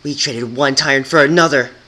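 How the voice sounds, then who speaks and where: A man's voice: mid-range voice, a little fast, pushed and bright, pitched above the performer's own voice, poor audio. A man voicing a bitter rebel pony mare with a hostile, accusing snarl, in a storybook reading. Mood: bitter accusation.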